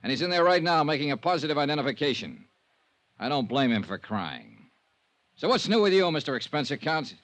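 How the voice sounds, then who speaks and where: A man's voice: on the high side, very animated, a little fast, a bit bright. A man playing a tough, wry insurance investigator in 1950s radio style, clipped, dry and sardonic. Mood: brusque, sardonic. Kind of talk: 1950s radio detective acting. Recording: noisy vintage radio recording.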